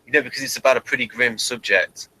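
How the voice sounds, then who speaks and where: Guy hosting a chatty review, bright forward voice, kinda hesitant and trails off. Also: medium pitch, quick pace, poor audio. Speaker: host of review show. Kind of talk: casual unscripted review chat.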